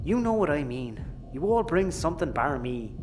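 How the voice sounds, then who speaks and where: A man with an Irish accent playing a self-deprecating, excitable spaceship crewman in an audio drama. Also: on the high side, normal speed, music in the background. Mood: self-deprecating, wry. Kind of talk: sci-fi comedy audio drama dialogue.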